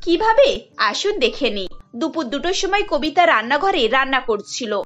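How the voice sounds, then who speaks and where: A woman narrating a cartoon story, with lively delivery. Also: sits high, moderate pace, highs cut off, faint music behind. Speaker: narrator and voice actor.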